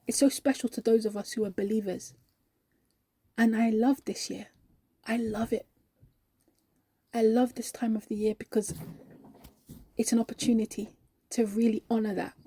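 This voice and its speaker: A devout, cheerful woman who works in a shop, as a female storyteller acts her out. She speaks softly and earnestly in a mellow, breathy voice, lingering on her words with serene conviction. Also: on the high side, a little slow. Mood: reverent joy.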